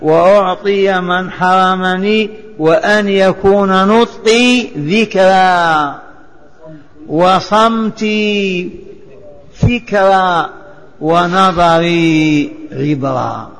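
A man's voice: sits high, extremely slow, pauses often, a bit bright. A man teaching a religious lesson, chanting the text in a sing-song and having it repeated. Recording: long hall reverb.

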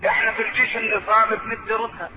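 Male preacher, Egyptian accent, high voice, sermon storytelling, rhetorical questions, declamatory phrasing, clearly noisy recording. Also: little pitch movement. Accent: Egyptian Arabic. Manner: rhetorical, alternating colloquial asides with drawn-out declamation. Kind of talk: sermon to a congregation with storytelling and recited verse. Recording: noisy, narrow phone-like sound.

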